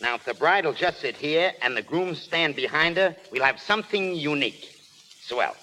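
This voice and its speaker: A pushy Hollywood publicity man in a vintage radio play. His voice is a little high, sharp and very animated, with a sardonic hustler's edge. The old recording is noisy. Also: moderate pace. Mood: brisk, bossy. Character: pushy, cynical, fast-scheming Hollywood studio press agent. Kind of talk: 1930s-40s radio drama acting.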